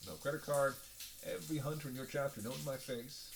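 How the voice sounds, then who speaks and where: A man's voice: mid-range voice, steady tone, a little fast, vocal fry, natural voice. A man playing a sardonic, playful vampire who banters sarcastically with his hunter in an audio roleplay. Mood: teasing. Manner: sarcastic, playful, drawling asides with sighs and scoffs. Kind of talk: audio roleplay acting, one-sided dialogue.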